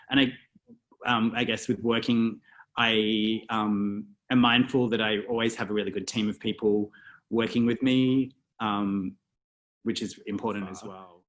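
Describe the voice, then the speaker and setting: An Australian man in a casual interview, talking reflectively and thinking aloud with many pauses and fillers. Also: medium pitch, a bit bright, low-quality recording. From Australia (Melbourne). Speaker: guest, stylist and photographer.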